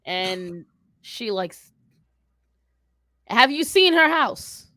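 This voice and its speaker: Woman playing a bright, brash, sardonic young woman. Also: a little high, sing-song, very slow, no echo, clean studio sound, full character voice. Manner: blunt, sarcastic, foul-mouthed. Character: brash, sardonic twenty-two-year-old woman who dislikes kids and animals. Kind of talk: improvised in-character question and answer.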